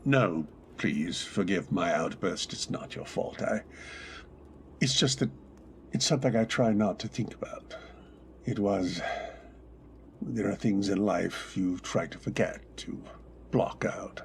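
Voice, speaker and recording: A man's voice: sits low, slow pace, pauses often, a little hiss or hum. A man in a community radio drama playing a brooding, wealthy widower who turns abruptly commanding. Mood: pained. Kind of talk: old-time radio drama acting.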